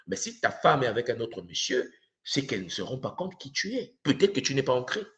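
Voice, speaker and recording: A middle-aged man from Congo giving a spiritual teaching, swinging between loud emphatic bursts and low murmurs. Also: very animated, a little fast.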